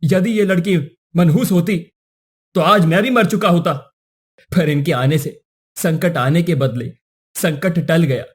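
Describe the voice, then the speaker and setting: A man's voice: on the high side, measured pace, breathy edge. A man playing a principled young prince in a cartoon story, speaking earnestly and formally.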